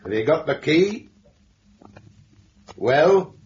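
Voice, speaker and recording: An actor playing a composed male investigator in an old radio drama, deliberate and probing. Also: a little high, very animated, darkish tone, heavily acted. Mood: stern, probing. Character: calm, stern male investigator questioning a suspect. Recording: noisy, dull vintage radio recording with clicks.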